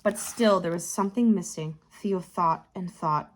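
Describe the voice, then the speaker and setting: A woman narrating a picture book for children, expressive and deliberate, lingering on key words. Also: lowish voice, noisy background. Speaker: tutor reading a children's book aloud.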